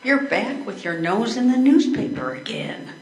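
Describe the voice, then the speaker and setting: A woman's voice: on the high side, lively intonation, normal speed, a little hiss or hum, lightly acted. An older woman in amateur readers theater playing a dry, teasing wife on holiday. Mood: wry. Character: wry, long-suffering wife on holiday. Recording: echoey room.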